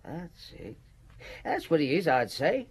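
Husky, cheeky informant. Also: male voice, fairly high pitch, big pitch swings, a little slow, heavily acted. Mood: cagey. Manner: cagey, cheeky, colloquial. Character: shifty, cheeky lowlife brothel porter turned informant. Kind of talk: radio drama acting.

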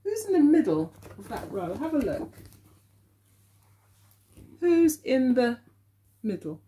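A middle-aged woman, an early years teacher, reads a picture book aloud to young children, expressively and deliberately, with questions for them. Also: on the high side, very animated, warm tone. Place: living room at home, indoors.

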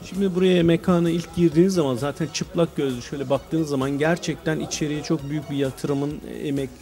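A man's voice: on the high side, fairly even intonation, fairly quick, mellow. A man working as a TV reporter, interviewing people in a restaurant on a handheld microphone. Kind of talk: on-location interview. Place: restaurant dining room. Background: music.

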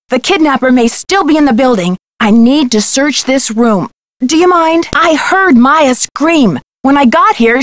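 Young female sleuth, video game. Animated, brisk and wry, recorded very dry. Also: high-pitched, no room sound. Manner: brisk, pointed, wry questioning. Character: determined young female amateur detective, sassy and earnest.

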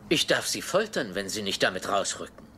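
An actor playing a fussy, self-important assassin doctor, pedantic and politely menacing, in a dubbed film. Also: male voice, lowish voice, bright, forceful tone, a little hiss or hum, exaggerated voice. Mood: exasperated, embarrassed. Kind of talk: German-dubbed film acting. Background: film score music.

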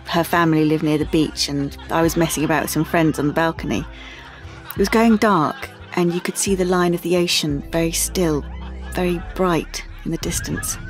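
An actress playing a sensitive teenage art student, confiding haltingly in an audio drama monologue. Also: female voice, fairly low pitch, lightly acted. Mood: wistful.

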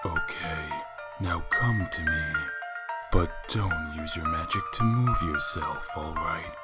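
A man voicing a weary skeleton father in a comic dub, slow, deliberate and exasperated with his baby son. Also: rumbling low. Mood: patient. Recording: loud music behind the voice.

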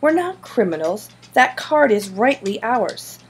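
A woman's voice: on the high side, big pitch swings, unhurried, breathy edge, voice pushed up, heavily acted. A woman voicing an earnest schoolboy schemer in an audiobook, insistent and persuasive. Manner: insistent, pleading. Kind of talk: audiobook character dialogue.

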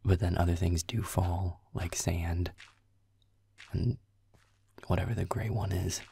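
Sulky young male werewolf gamer played by a man, very low husky half-whisper, sarcastic roleplay muttering. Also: unhurried, no echo, heavily acted. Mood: muttering, unimpressed. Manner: muttering, swearing, sarcastic, deadpan. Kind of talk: roleplay audio, muttered video game commentary.

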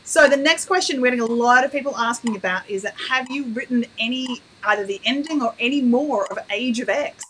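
A woman's voice: fairly high pitch, a little fast, hardly any pauses, a bit bright, slight background noise, low-quality recording. A woman hosting a live video interview, reading out fan questions with upbeat enthusiasm. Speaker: host. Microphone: webcam on a video call.

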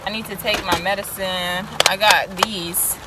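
A woman's voice: medium pitch, steady tone, a little slow. Young woman vlogger, chatty and rambling, phone-cam sound in a noisy van. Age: young adult. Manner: rambling, chatty, talks to viewers. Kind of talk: casual vlog. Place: inside a parked van on a rainy day. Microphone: handheld phone camera.

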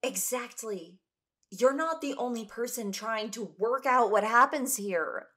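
A woman's voice: on the high side, lively intonation, unhurried, fairly bright tone. An actress playing a wary, insistent woman explorer in an audio drama. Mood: pleading. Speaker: lead actor in audio drama.

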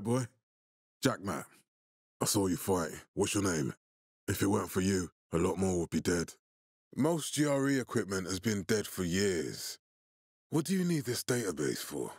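Husky, commanding militia leader. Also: male voice, lowish voice, a little mellow, dry recording, clean studio sound. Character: commanding militia leader, grave adult man, calculating and profane. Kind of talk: video game character dialogue.

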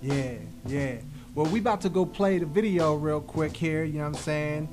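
A man's voice: a little high, moderate pace, over music. A young American man hosting a casual hip hop radio interview in slangy, conversational talk, close on a studio microphone. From West Philadelphia, United States. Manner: slangy, frequent 'you know what I'm saying'. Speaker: guest radio host. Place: small radio studio, indoors. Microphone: close studio microphone on arm, headphones.

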